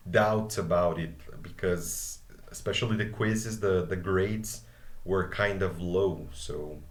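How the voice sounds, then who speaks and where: A man's voice: sits low, reverberant. A man with a Brazilian Portuguese accent, a native Portuguese speaker, teaches a live online English grammar class over a video call. He explains patiently and keeps checking in with "okay?".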